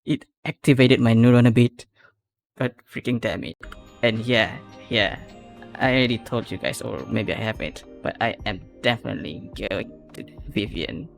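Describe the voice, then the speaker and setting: A man's voice: mid-range voice, normal speed, vocal fry. A man giving casual reaction commentary as a video host, rambling and often correcting himself. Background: music.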